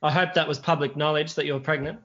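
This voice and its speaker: Male podcast co-host, slightly high voice, casual and chatty, lo-fi recording. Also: fairly even intonation, fast, a bit bright. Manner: friendly, laughs, adds comments. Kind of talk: casual interview chat.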